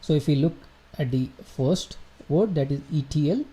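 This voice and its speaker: A man teaching a live online class, working through technical ideas at an unhurried pace with plenty of fillers and self-corrections. His voice is deeply mellow and dark in tone. He pauses to ask viewers whether they can hear him. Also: lively intonation. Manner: explains slowly with many fillers and restarts, checks in with viewers. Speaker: livestream instructor. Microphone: computer mic, quiet at first then turned up.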